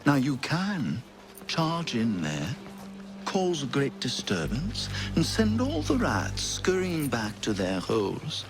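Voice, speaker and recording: A man's voice: mid-range voice, wildly swooping pitch, over music, exaggerated voice. A man playing a decadent middle-aged French marquis in a video game, florid and teasing, slyly amused. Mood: sly, sardonic. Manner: florid, teasing, drawn-out phrasing. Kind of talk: dramatic video game acting.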